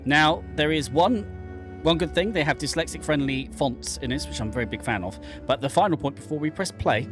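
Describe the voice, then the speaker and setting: Jokey man narrating a game over music. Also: a little high. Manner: jokey, theatrical. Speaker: game streamer presenting a first look. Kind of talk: casual playthrough commentary mixed with dramatic reading of on-screen narration.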